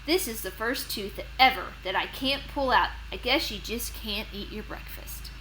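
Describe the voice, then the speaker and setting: A woman's voice: fairly high pitch, moderate pace, pushed and bright, slight background noise, rough recording. A woman voicing a brash female tooth fairy in a children's storybook read-aloud. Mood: baffled.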